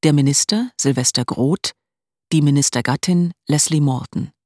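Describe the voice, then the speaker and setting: A radio announcer reading formal scripted credits in a measured, list-like way, with a breathy voice close on a studio mic. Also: mid-range voice, darkish tone, no room sound.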